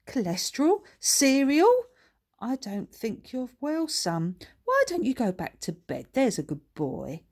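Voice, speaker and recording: A woman's voice: sits high, sing-song, unhurried, darkish tone, pitched above the performer's own voice, heavily acted. A woman voicing an indulgent working-class mother who talks in a colloquial, fussing, soothing way. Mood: puzzled.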